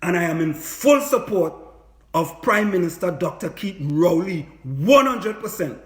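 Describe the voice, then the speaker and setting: A man's voice: high voice, expressive, measured pace. A man with a Trinidadian accent delivering an impassioned, informal livestream monologue, emphatic and repetitive, full of rhetorical questions. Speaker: livestream host, political activist; business lecturer. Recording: echoey room, livestream.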